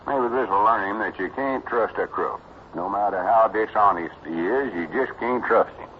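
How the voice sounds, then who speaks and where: Radio comedy: man as self-important older Southern rustic, low voice, homespun drawl, muffled vintage sound. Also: moderate pace, slight background noise, lightly acted. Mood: knowing. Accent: rural Southern US. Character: folksy, self-important, opinionated older country storekeeper. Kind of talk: old-time radio comedy acting.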